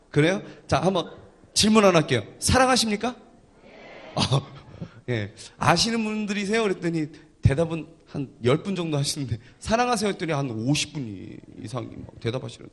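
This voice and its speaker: A man's voice: high voice, breathy edge. A male pastor leading a church service, joking with the congregation and coaxing them to greet each other and answer back. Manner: energetic, coaxing crowd responses.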